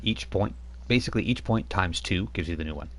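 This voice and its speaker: A man's voice: low voice, a little fast, a bit bright, slight background noise. A man running a tabletop role-playing game as game master, narrating in a rambling way with many fillers.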